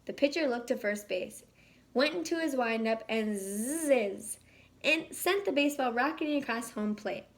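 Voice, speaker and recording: Higher-pitched woman reading a storybook aloud. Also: moderate pace. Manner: animated, acts out dialogue. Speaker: children's read-aloud storyteller. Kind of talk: expressive picture-book narration.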